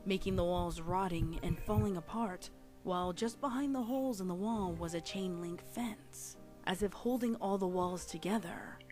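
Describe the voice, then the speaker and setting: A woman with a slightly low voice narrates a scripted horror story. Her delivery is dramatic storytelling, with music playing behind her. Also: normal speed, darkish tone.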